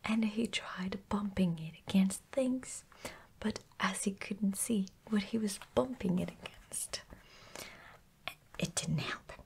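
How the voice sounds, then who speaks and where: A woman reading a children's bedtime story in a hushed, gentle, unhurried ASMR whisper. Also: medium pitch, vocal fry, a little hiss or hum.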